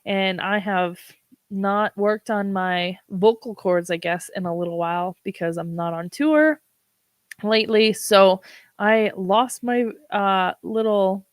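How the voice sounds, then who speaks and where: A woman hosting a livestream, talking casually with a froggy, hoarse voice and many ums. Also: mid-range voice, no echo. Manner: unhurried, with frequent ums. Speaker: livestream host.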